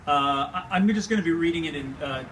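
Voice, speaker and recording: A man's voice: on the high side, a bit bright. Californian man, recorded from a distance. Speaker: writer and performer of a monologue. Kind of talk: informal spoken introduction before a reading. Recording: distant live room recording, faint noise.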